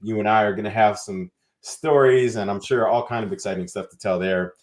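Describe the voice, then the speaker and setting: Male podcast host, slightly low voice, upbeat and chatty. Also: brisk, darkish tone. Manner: upbeat, conversational with fillers. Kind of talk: podcast interview.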